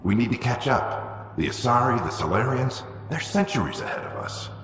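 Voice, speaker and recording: An actor in a video game playing a defiant, visionary military officer with a firm, persuasive way of speaking. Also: male voice, medium pitch, expressive, normal speed, fairly bright tone, a little hiss or hum, natural voice. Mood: insistent, driven. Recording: echoey reverberant hall.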